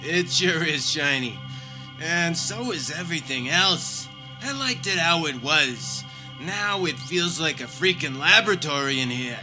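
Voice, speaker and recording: A man's voice: on the high side, expressive, a little slow, loud music behind the voice, poor audio. A man playing a grumpy, complaining, old-fashioned husband in a comedic radio play, grumbling as he talks. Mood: sheepish, grumbling. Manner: grouchy, grumbling.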